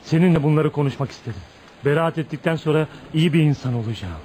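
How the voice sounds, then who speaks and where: Radio play lead: bitter, brooding outcast in his thirties male actor, emotional and dramatic, higher register, light background noise. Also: fast talker, a little mellow, natural voice. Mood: defiant, vengeful. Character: tormented, bitter 35-year-old outcast man, brooding and volatile. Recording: noisy radio drama recording.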